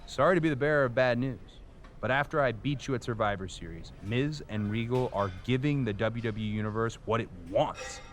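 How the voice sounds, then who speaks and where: A man's voice: medium pitch, brisk, a little hiss or hum, natural voice. A man playing a confident, cordial rival wrestling champion in a game cutscene, straight-talking and self-assured. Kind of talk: video game cutscene dialogue. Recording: faint background ambience.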